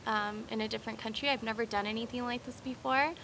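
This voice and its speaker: An American woman reflects casually on volunteering. Her voice is bright with plenty of vocal fry, and there is faint noise in the background. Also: fairly high pitch, steady tone, quick pace, low-quality recording. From California. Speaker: student volunteer. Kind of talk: casual interview testimonial.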